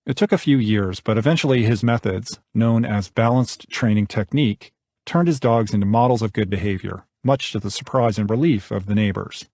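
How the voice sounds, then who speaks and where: A man's voice: lowish voice, moderate pace, a little mellow, no room sound, studio quality. A man narrating a nonfiction audiobook from a script.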